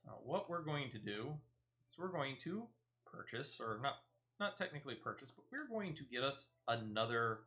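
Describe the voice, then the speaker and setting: Lively, bright-voiced man commentating gameplay. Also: medium pitch, normal speed. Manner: chatty, thinks aloud. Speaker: gaming streamer.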